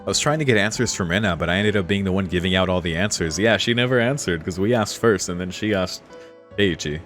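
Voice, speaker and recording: A man's voice: sits low, fast talker, fairly bright tone, faint music behind. A man streaming a visual novel, mixing read-aloud narration with casual commentary. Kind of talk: casual let's-play commentary and reading aloud.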